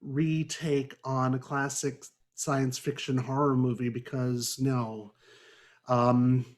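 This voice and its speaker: A man's voice: mid-range voice, little pitch movement, slow pace. Sardonic, exasperated man hosting a podcast. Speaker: podcast host. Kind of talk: casual book-club discussion.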